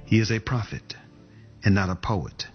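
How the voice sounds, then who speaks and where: A man with a low voice reads quotations in a slow, measured voice-over. The recording is dull and lo-fi, and music plays faintly beneath him. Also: expressive. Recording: dull lo-fi audio.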